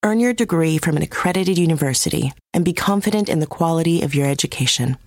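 A woman reading a scripted ad in a smooth, polished way. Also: sits low, darkish tone, no room sound, clean studio sound. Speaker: ad announcer. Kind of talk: scripted ad read.

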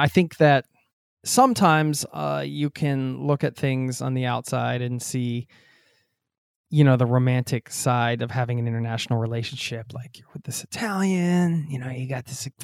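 A man's voice: medium pitch, clean tone, no room sound, studio quality. A man from the United States hosting a podcast, chatty and rambling in casual conversation, laughing often. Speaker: podcast host. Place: home in Norway.

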